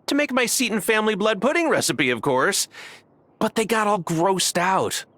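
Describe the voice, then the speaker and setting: An actor voicing a quirky, folksy islander in a video game, proud and easily indignant. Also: male voice, sits high, sing-song, moderate pace, a bit bright, dry recording. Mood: folksy grumbling.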